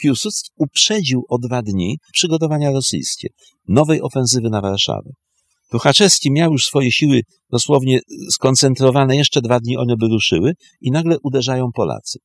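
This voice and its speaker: Animated man, historian telling military history. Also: mid-range voice, moderate pace, no echo, clean studio sound. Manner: emphatic, short repeated phrases. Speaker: historian guest.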